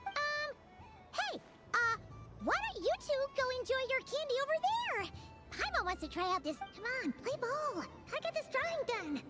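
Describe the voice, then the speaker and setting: Game role: tiny excitable sprite girl, extremely high squeaky voice, wildly animated, loud music behind. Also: moderate pace. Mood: flustered. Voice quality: squeaky, childlike. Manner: exclaiming, refers to self in third person. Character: tiny floating girl companion, excitable and blunt. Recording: music playing loudly behind.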